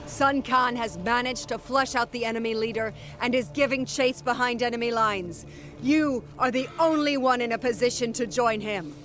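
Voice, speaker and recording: High-voiced dutiful female officer played by an actress. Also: normal speed, a bit bright, natural voice. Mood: grave, urgent. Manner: formal, military briefing. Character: female military officer, dutiful, urgent and grave. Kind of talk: dramatic fantasy game cutscene acting.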